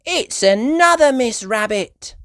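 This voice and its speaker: A woman voicing an excitable, curious little girl pig in a children's storybook, squealing eagerly. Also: fairly high pitch, slow pace, no echo, studio quality, pitched above the performer's own voice. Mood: thrilled.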